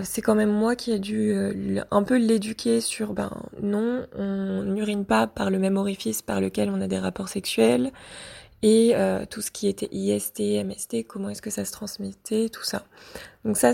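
Young woman, gravelly and mellow, hesitant testimony with many fillers. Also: mid-range voice. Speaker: interviewee. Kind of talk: personal testimony.

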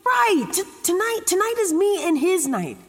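A woman's voice: extremely high pitch, sing-song, normal speed, in a large hall, background noise, full character voice. An actress playing an anxious, chatty young woman who rambles casually and swears in a naturalistic audio drama monologue. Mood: flustered. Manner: rambling, casual, sweary, stop-start self-talk. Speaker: lead actor in an audio drama.

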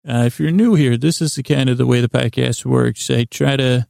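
A man hosting a bedtime podcast in a rambling monologue, mumbling and stumbling apologetically through halting, meandering, self-deprecating asides. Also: mid-range voice, gravelly, a little mellow, no room sound, clean studio sound. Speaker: podcast host. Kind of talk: rambling bedtime monologue to help listeners sleep.

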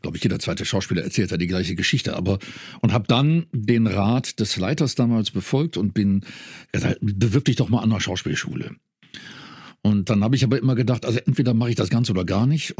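Husky man in his fifties, chatting. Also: fairly low pitch, a little mellow, dry recording, clean studio sound. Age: 50s. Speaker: actor and voice actor, interview guest. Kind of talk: casual interview chat, anecdotal.

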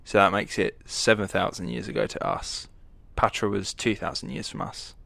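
A man's voice: fairly low pitch, steady tone, moderate pace, creaky, sharp tone, no echo. A man co-hosting a casual history podcast from home, eagerly chipping in with facts, on a poor-quality mic. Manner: enthusiastic, chips in with facts. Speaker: podcast co-host. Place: room at home with pets.

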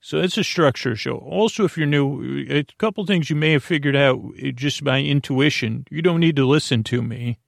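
A man hosting a sleep podcast in a meandering, hesitant monologue that keeps trailing off into tangents, with a creaky voice. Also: medium pitch, moderate pace, few pauses, no room sound, studio quality. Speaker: podcast host. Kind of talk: rambling bedtime monologue to help listeners sleep.

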